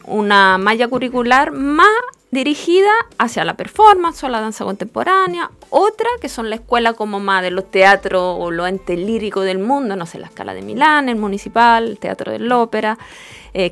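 A woman's voice: medium pitch, lively intonation, moderate pace, creaky, fairly bright tone. A middle-aged woman from Italy who speaks Spanish with an Italian accent. She is a guest in an interview and explains at length, thoughtfully, with small hesitations. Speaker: guest, education director. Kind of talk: conversational interview.

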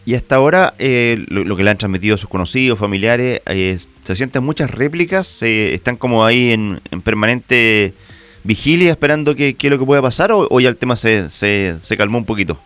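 A man's voice: medium pitch, expressive, a bit bright, clean tone, soft background music. A Chilean man hosting a radio interview, asking long questions with some restarts. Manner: thoughtful, halting questions with restarts. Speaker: radio host.

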